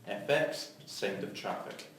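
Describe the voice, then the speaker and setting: A man's voice: slow, a bit bright. A man narrating scene headings and stage directions in a scripted read-through. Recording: very echoey, distant mic, background noise.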